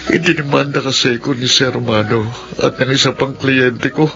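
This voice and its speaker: An actor playing Diego, a contractor full of guilt and remorse, in a radio drama. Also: male voice, medium pitch, fairly even intonation, normal speed, gravelly, natural voice. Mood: remorseful. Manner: remorseful, confessional. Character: Diego, guilt-ridden contractor facing a lawsuit. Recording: muffled radio drama audio with music.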